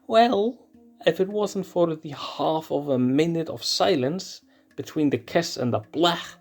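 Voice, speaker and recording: A man's voice: a little high, a little slow, darkish tone, faint music behind, pitched above the performer's own voice. A man voicing a sly, teasing young male lion cub.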